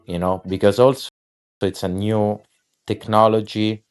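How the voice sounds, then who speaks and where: A man's voice: fairly low pitch, flat delivery, a little slow, smooth. A man chatting casually as a guest on an online voice space, rambling and swearing, with lots of fillers and restarts. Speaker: guest artist.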